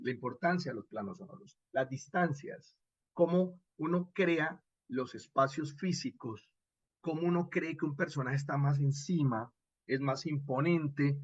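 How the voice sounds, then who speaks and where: A man with a Colombian accent and a husky voice, lecturing expressively as a radio drama instructor and checking in with short rhetorical questions. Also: fairly high pitch, no echo, lo-fi sound. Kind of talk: animated workshop lecture with acted examples.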